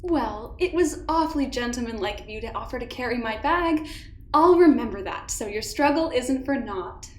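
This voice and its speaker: A woman's voice: fairly high pitch, expressive, moderate pace, a little mellow, close to an everyday voice. A woman playing an airy, imperious, genteel lady in an audio drama, mannered and teasingly condescending. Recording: roomy echoing sound.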